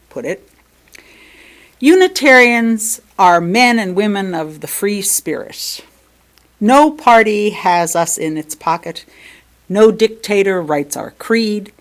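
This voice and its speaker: An American woman reads a sermon aloud from a script as a lay speaker, phrasing it in a measured, deliberate way. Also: on the low side.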